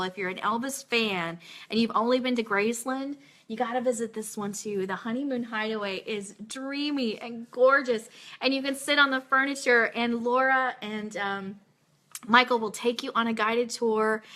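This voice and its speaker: A woman's voice: mid-range voice, sharp tone. A woman hosting a casual fan radio show, talking in an enthusiastic, informal way as she plugs things.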